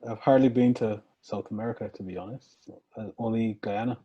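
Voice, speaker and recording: Co-host, male, earnest and reflective, slightly rough low voice. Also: steady tone, fairly quick, dark tone, muffled recording. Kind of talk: casual video chat.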